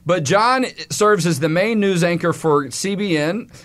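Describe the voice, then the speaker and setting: A man's voice: sits high, a little slow, fairly bright tone. A man hosting a studio talk show, speaking in a friendly, chatty, folksy way into a studio mic. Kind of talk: casual studio interview. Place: radio studio.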